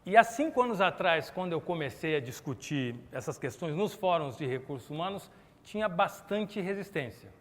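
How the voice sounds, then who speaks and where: A man's voice: a little high, moderate pace. A middle-aged Brazilian man giving a chatty conference keynote, polling the audience with questions. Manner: animated, chatty, asks the audience to raise hands. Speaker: conference speaker. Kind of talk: lively corporate keynote talk with audience questions. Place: conference hall. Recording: long reverb of a conference hall.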